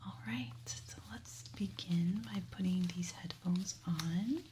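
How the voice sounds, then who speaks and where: A woman speaking in a breathy, unvoiced whisper, soothing and reassuring, as an ASMR host playing a hearing examiner. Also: lowish voice, slow pace, background noise. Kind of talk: whispered ASMR medical roleplay.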